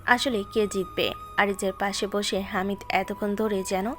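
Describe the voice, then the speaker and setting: A female narrator with a mid-pitched voice tells a scripted story. A music bed runs beneath her delivery. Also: steady tone, measured pace, fairly bright tone.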